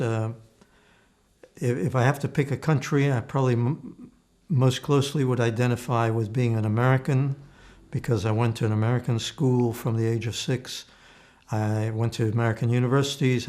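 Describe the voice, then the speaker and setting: A man in his eighties, born in Slovakia with Slovak as his first language, giving oral history testimony in a measured, thoughtful way with frequent restarts. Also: mid-range voice, a little mellow. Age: 80s. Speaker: interviewee.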